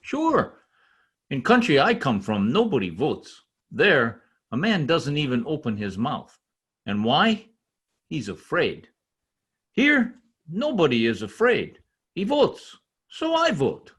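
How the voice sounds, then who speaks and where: Excitable husky-voiced foreign immigrant. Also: male voice, a little high, full character voice. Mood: proud. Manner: halting, simple English, earnest and enthusiastic. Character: excitable, proud immigrant tradesman, newly a citizen. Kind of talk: old-time radio comedy acting.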